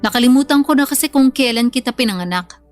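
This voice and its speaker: Cold, curt mother, fairly high voice. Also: expressive, quick pace, soft background music. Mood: blunt, demanding. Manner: curt, dismissive. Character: cold, blunt, demanding estranged mother, middle-aged. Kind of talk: radio drama acting.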